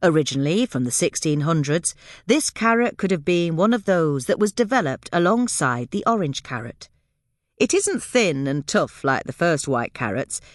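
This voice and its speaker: Female narrator for scripted gardening podcast: animated pitch movement, measured explanatory read, very dry close sound. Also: dry recording.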